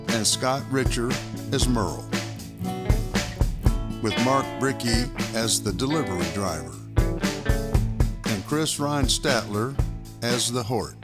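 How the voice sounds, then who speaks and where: A man reading the show credits and sponsor plug in a measured, unhurried voice. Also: medium pitch. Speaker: show announcer. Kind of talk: scripted credits read over music. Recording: voice over loud guitar music.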